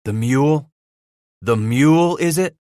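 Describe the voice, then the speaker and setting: A man's voice: fairly high pitch, lively intonation, measured pace, darkish tone, dry recording, clean studio sound, voice pushed up. A man voicing a big, hot-tempered frontier trapper in an audiobook, growling in rustic dialect. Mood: angry, menacing.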